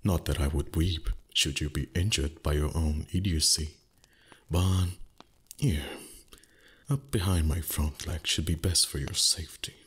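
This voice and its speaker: A man voicing an ancient, proud dragon, gruff but secretly tender, with haughty, teasing, formal speech in a roleplay ASMR performance. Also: very deep voice, unhurried, a bit bright, exaggerated voice. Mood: haughty, protective.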